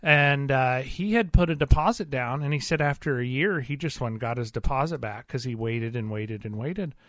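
A man's voice: mid-range voice, normal speed, fairly bright tone, no echo, studio quality. A man co-hosting a radio talk show, chatting casually with folksy, colloquial turns of phrase.

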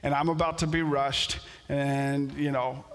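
A pastor whose first language is French, preaching a sermon in a storytelling way that builds to animated outbursts. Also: male voice, mid-range voice, a little slow, long reverb tail. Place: church sanctuary.

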